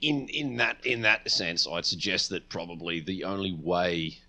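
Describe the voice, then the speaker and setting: A deep-voiced man chats as a podcast co-host. He weighs each point in a measured way, mixing slightly formal phrasing into relaxed conversation. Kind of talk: casual chat, debating film characters.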